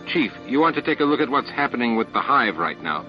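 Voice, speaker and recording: A man voicing a calm, commanding starship captain in an audiobook. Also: mid-range voice, fast, soft background music. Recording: muffled, band-limited tape audio.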